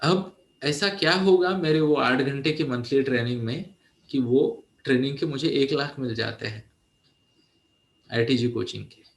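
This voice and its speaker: Brief: forties male mentor, mid pitch, coaxing talk in an echoey room. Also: unhurried, vocal fry. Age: 40s. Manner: mixes English phrases into Hindi, asks "है ना?" often. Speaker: teenage mentor and coach. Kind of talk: motivational talk to young listeners.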